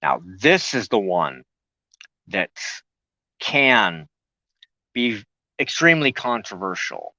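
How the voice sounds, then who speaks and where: A man hosting a spiritual talk show, explaining loosely and haltingly, often pausing mid-sentence to search for words. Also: on the low side, very animated, sharp tone, no room sound, dull audio.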